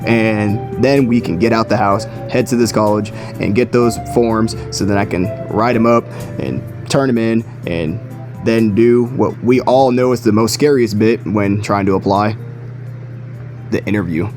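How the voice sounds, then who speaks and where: A man's voice: lowish voice, fairly even intonation, measured pace. A young man in his late teens telling a story in a chatty, slangy, self-deprecating way. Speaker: video creator. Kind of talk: casual storytime vlog. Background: music.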